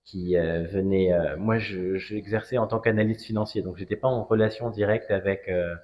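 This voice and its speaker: A man in his forties with a fairly low voice speaks as a guest in a conversational interview. He is from Strasbourg in France and builds his phrases carefully, pausing and hesitating often. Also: steady tone, a little mellow. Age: 40s. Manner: hesitant, measured phrasing with frequent pauses. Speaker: interview guest, cigar brand ambassador. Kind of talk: conversational interview, personal story.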